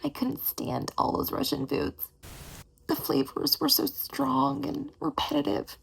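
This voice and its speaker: A woman with an American accent voicing a ditsy, dramatic young abductee in a whispered ASMR role play, breathy and prone to whining complaint. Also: sits high, flat delivery, measured pace, full character voice, poor audio. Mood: indignant.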